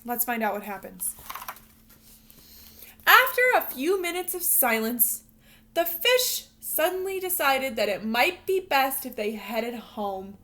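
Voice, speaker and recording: A woman teacher reading a picture book aloud to young children, expressive and instructional, pausing to put questions to them. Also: on the high side, a little hiss or hum. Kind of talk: animated read-aloud storytelling with questions to young learners.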